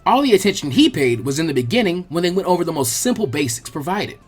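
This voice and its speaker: A man reading a story aloud as an expressive, colloquial audiobook narrator, with a husky voice. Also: fairly high pitch, fast, soft background music. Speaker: fan fiction audiobook narrator.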